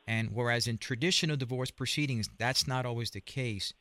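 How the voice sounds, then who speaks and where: A man's voice: mid-range voice, fairly quick, pushed and bright, dry recording. A man hosting an interview show, asking long, hesitant questions full of restarts. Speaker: show host.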